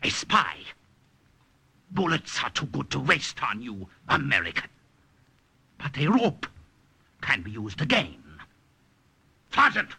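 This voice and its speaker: An actor playing a cold army captain in 1940s radio style, with a curt, sardonic, commanding manner. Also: male voice, medium pitch, huge pitch swings, takes it slowly, gravelly, pauses often, bright, forceful tone, husky, full character voice. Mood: cold menace. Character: cold, menacing German army captain interrogating a prisoner.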